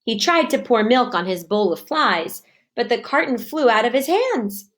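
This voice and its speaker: A woman's voice: on the high side, big pitch swings, moderate pace, fairly bright tone. A woman reading a children's storybook aloud expressively, in an instructive manner for young listeners. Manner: asks listeners simple questions, instructive.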